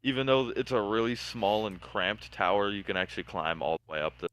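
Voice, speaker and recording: Deep-voiced man, hesitant and rambling. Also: fairly even intonation, brisk, fairly bright tone, dry recording. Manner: hesitant, lots of filler words. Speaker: guest builder in a voice chat. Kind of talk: casual voice chat.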